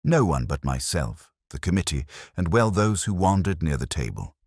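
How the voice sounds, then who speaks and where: Guy doing a huffy prim committee lady in a mystery audiobook, all affronted, still really low. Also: sing-song, moderate pace, darkish tone, dry recording, clean studio sound, full character voice. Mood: indignant, flustered. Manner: indignant, prim, emphatic. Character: agitated, affronted village committee woman. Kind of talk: storybook character dialogue.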